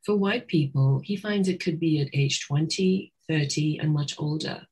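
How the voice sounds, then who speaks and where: A middle-aged woman with a low, husky voice, speaking as an interview guest. She talks in a reflective way, stops often to restart her thoughts, and uses fillers as she goes. She grew up in Trinidad. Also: normal speed, a little mellow, low-quality recording. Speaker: psychotherapist guest.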